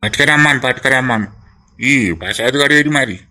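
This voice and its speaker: A man's voice: medium pitch, fast, bright, forceful tone. A man with a Telangana accent acting a teasing, sociable brother-in-law in a rural family drama, bantering and complaining in mock grievance. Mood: sociable, eager.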